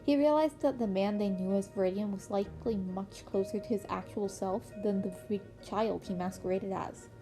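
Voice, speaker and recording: A woman's voice: medium pitch, normal speed, hardly any pauses, rough recording. A woman reading scripted story narration as an amateur audiobook reader, with music playing underneath.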